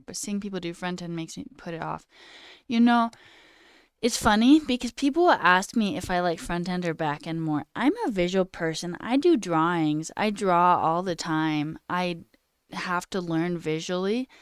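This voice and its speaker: A woman's voice: on the low side, normal speed, clear, resonant tone, no room sound, studio quality. A young woman with a slight sore throat, casually chatting on a live coding stream, reading chat aloud and muttering at her code. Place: small room indoors.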